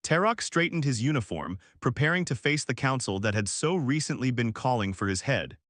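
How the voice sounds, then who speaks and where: A male narrator reads dramatic science fiction prose. His pitch moves in lively swings, and the recording is very dry, with no room sound at all. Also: brisk, no echo. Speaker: audiobook-style narrator. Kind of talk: dramatic scripted sci-fi story narration.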